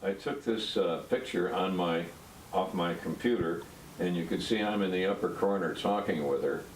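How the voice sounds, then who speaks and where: A man's voice: low voice, moderate pace, a little hiss or hum, poor audio. A man in his eighties from upstate New York, speaking unhurriedly in an interview with a few "uh" fillers. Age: 80s. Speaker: interviewee, pianist.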